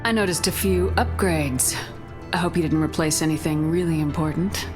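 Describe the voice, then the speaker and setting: Actress as tough female sci-fi commander: low register, blunt, firm, unsentimental, music underneath. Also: normal speed, close to an everyday voice. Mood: guarded, probing. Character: hardened, blunt female space military commander. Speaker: video game protagonist. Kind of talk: video game voice acting. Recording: loud background music. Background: game music.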